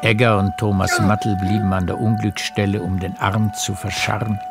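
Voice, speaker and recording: A man narrating a radio play as a literary storyteller, with measured, deliberate phrasing. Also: on the low side, little pitch movement, vocal fry, music in the background.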